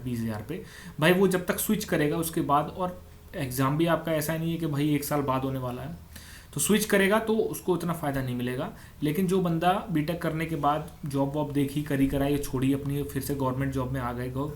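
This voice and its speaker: Male exam coach, fairly high voice, conversational explainer, repeats key points, faint background noise. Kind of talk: informal explainer for exam aspirants.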